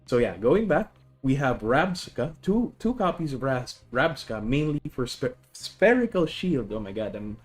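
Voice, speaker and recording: A man's voice: medium pitch, sing-song, measured pace, hardly any pauses, soft background music. A man with a Filipino accent chatting casually on a live stream, explaining a card deck, often correcting himself and greeting viewers. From Philippines.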